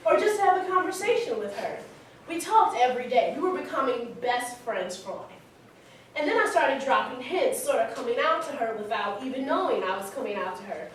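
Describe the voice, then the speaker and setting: Woman acting high-voiced young storyteller in echoey hall. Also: sing-song, normal speed. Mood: candid, excited. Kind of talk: staged reading monologue, conversational storytelling. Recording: very echoey hall, distant mic, faint noise.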